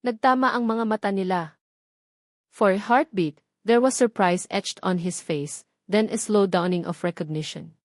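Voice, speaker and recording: A woman narrating a scripted love story in a sentimental, measured way with dramatic pauses, switching between Tagalog and English. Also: mid-range voice, no room sound, clean studio sound.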